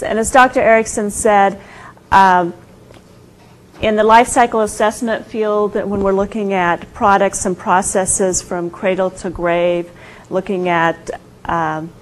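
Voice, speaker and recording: A soft-spoken woman giving an informal guest lecture, hesitant, with many fillers and restarts. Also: medium pitch, poor audio. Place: university lecture room.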